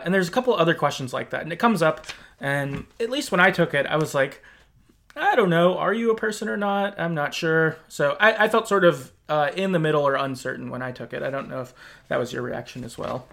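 Higher-pitched man with creaky voice, casually recapping. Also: fairly bright tone. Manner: informal, conversational storytelling. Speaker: podcast host. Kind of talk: casual chat, recapping a video game story.

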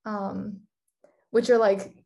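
Young woman from Singapore, co-host, upbeat, lots of "like", middle pitch. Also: little pitch movement, slow, vocal fry, warm tone. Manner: heavy use of "like", upbeat. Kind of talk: casual interview chat.

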